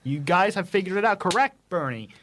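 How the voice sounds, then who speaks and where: A man with a slightly raised voice hosts a casual quiz segment for a radio show. He reads his clues haltingly and often stumbles and starts over. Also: high voice, animated, fairly bright tone, a little hiss or hum. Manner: halting reading with stumbles and restarts.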